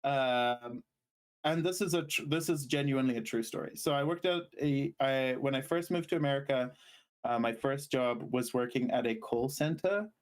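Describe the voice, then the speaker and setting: A man from Zimbabwe co-hosting a podcast, chatting casually and telling stories in a halting, rambling way. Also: mid-range voice. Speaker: podcast co-host. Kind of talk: casual chat and storytelling.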